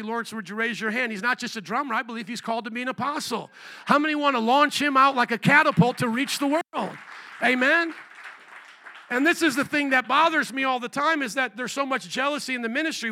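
A middle-aged man from Indiana preaching a sermon as pastor, animated, rising to near shouts on rhetorical questions. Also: extremely high pitch. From Indiana, USA.

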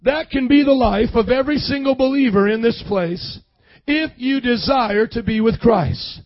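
A hoarse young man preaching a sermon, energetically calling out to the congregation for responses. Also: very high voice, normal speed. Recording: dull lo-fi sound.

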